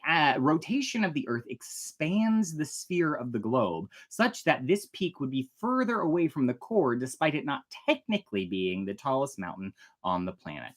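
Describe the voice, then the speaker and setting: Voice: mid-range voice, a bit bright. An American storytime host who speaks expressively, moving between dramatic narration and chatty, casual asides. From United States, Oklahoma. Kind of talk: animated storytime reading with casual fun-fact asides.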